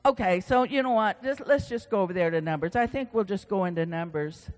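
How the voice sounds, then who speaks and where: A man's voice: high voice, brisk. A man preaches in a long, ringing hall reverb. His delivery is conversational, with folksy asides. He repeats phrases for emphasis as he works through scripture. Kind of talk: informal sermon with scripture reading.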